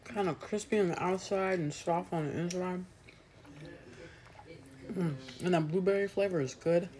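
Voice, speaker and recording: A woman's voice: lowish voice, normal speed, dark tone. A woman in her thirties filming a casual food review vlog on a phone at arm's length at home, chatting and describing each bite between mouthfuls. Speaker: food vlogger. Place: indoors at home, small room. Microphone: phone held at arm's length.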